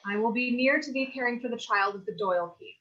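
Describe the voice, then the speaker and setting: A woman's voice: on the high side, fast talker, fairly bright tone, lightly acted. A woman playing an anxious, bookish teenage girl in a mock-Shakespearean staged reading, speaking earnestly in theatrical archaic language. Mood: serious, scolding. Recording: dull lo-fi sound.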